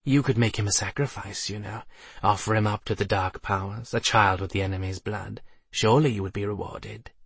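A man voicing a gaunt inhuman male familiar in an audiobook, sly and hungry, with a feather-soft, wheezing, teasing delivery. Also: lowish voice, fairly even intonation, brisk, creaky, breathy edge, dry recording, full character voice. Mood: sly, taunting. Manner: teasing, insinuating. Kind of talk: audiobook character voice.

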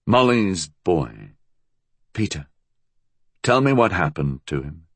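A man playing a calm, menacing man in a story, speaking in a gravelly voice with terse, cold insistence. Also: sits low, slow pace, no echo, exaggerated voice. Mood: cold, insistent.

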